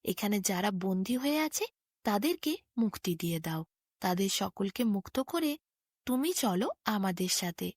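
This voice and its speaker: A woman voicing a gentle, wise young prince who speaks in an earnest, measured way, as in a storybook. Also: medium pitch, animated, slightly rough, no echo, studio quality. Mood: kind, inviting. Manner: earnest, measured moral counsel.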